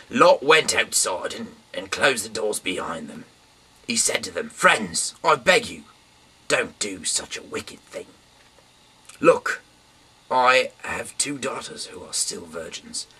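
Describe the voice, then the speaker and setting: Male, low but forceful voice, informal dramatic scripture reading, recorded at a distance. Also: husky. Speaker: Bible reader. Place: small dim room indoors. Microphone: camera mic from a little way off. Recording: distant mic, faint background hiss.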